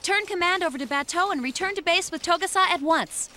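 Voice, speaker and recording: A woman voicing a crisp, formal control-room operator in an English anime game dub. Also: very high voice, brisk, pushed and bright. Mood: urgent, formal. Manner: formal announcing.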